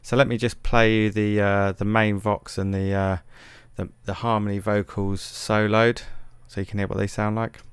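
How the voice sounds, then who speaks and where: Low, smooth-voiced man giving a tutorial. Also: little pitch movement, moderate pace, few pauses, no room sound. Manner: hesitant, self-deprecating. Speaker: music production tutorial host. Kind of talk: casual screen tutorial narration.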